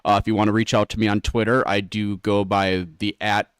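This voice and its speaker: Low-voiced male guest, dry sound. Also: little pitch movement, fairly quick, clear, resonant tone, no room sound. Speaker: interview guest. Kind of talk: casual chat, promoting own channel. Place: live at a political convention.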